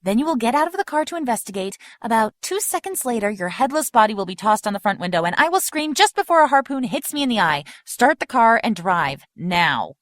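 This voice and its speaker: A young girlfriend in a horror radio play. Her voice is high and very animated, sardonic and bossy with a nervy edge, recorded dry over music. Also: fairly quick, hardly any pauses, no room sound. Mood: nervous, sardonic. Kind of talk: casual dialogue in audio horror drama.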